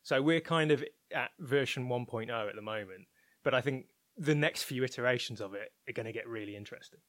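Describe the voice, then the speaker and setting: A man's voice: medium pitch, brisk, fairly bright tone, dry recording. Man, informal and candid, close dry sound. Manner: informal, candid, thinking aloud. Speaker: interview guest, technology researcher at a broadcaster. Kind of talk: conversational interview, explaining opinions.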